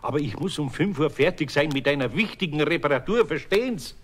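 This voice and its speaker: A man's voice: a little high, expressive, brisk. An actor playing an impatient, grumbling middle-aged master craftsman with a Bavarian accent, muttering in exasperation in a radio play. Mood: urgent.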